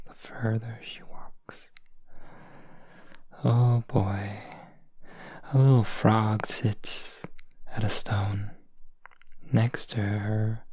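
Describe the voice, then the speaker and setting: A man narrates a gentle story in a soft ASMR whisper, very slow and unhurried. His voice is breathy and husky, close to the mic with a completely dry sound. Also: lowish voice, no echo.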